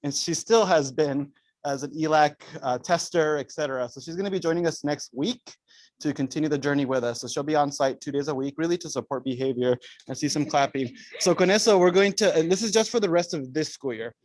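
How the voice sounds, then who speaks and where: A man's voice: fairly high pitch, a little fast, a little mellow, no room sound. A man, a school administrator, giving staff updates at a parent meeting and switching between English and Spanish. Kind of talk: bilingual staff announcement.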